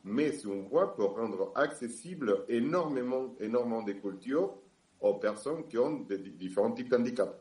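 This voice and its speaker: A man from Argentina with an Argentine Spanish accent, speaking on a panel in French and often pausing to search for words. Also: mid-range voice, expressive, darkish tone, roomy sound. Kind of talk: public discussion.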